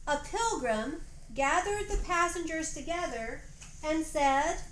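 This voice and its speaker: A woman narrating a homemade history play like a storybook, reading aloud expressively with deliberate phrasing, in a roomy home recording. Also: sits high, animated, a bit bright. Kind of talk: storybook narration for an amateur play, with informal stage directions. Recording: roomy echoey home recording, faint noise.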